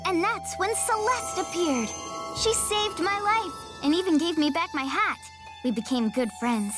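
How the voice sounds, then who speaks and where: Proud, sensitive schoolgirl for kids' animation, high lively voice, dramatic and self-assured, music underneath. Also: unhurried. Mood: wistful storytelling. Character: proud, hurt young girl fairy in training. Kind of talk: children's cartoon dub. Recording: music behind the voice.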